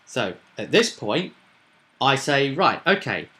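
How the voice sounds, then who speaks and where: A man with a bright, forward voice talks through a tabletop roleplaying game as its game master. He explains things in a casual, conversational way and keeps looking for agreement with little tag questions. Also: medium pitch, very animated, takes it slowly.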